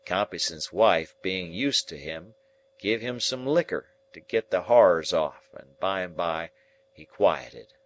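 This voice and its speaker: A man voicing a rough old male convict, gruff and plainspoken, in a rustic dialect. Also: low voice, lively intonation, a little slow. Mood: grim storytelling.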